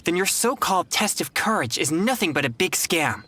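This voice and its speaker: A man's voice: high-pitched, big pitch swings, normal speed, sharp tone, slight background noise, exaggerated voice. Young exorcist guy, earnest n proper, formal wording, principled, video game voice. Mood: indignant.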